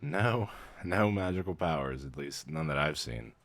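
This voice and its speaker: A man playing a brisk, weary vampire mentor in a scripted ASMR roleplay, measured, clinical and dry in manner. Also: rumbling low, expressive, a bit bright, exaggerated voice. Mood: casual, matter-of-fact. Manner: measured, clinical, dryly matter-of-fact, hesitant when surprised. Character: male vampire mentor, brisk weary clerk-medic, professional turning casual.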